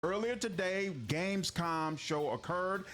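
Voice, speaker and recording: A man giving casual, exasperated commentary as a gaming video creator, explaining clearly. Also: sits high, measured pace, fairly bright tone, slight background noise.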